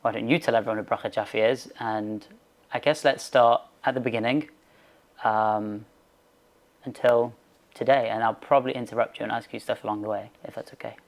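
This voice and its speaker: A woman hosts a podcast interview in an extremely deep voice with a gravelly fry. She keeps it relaxed and casual, nudging her guest along with short replies. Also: normal speed. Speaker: podcast host.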